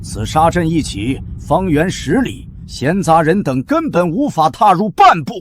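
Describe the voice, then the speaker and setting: An actor playing a scheming, arrogant old Taoist priest who sneers with contempt in a costume drama. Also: male voice, a little high, very animated, a little slow, slight background noise. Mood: gloating. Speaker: villain.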